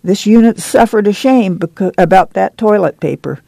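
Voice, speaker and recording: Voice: medium pitch, expressive, normal speed, gravelly, dark tone, no echo, voice pushed up. A woman voicing a stern, bossy country committee chairwoman who scolds in commanding, folksy rural dialect in a story reading.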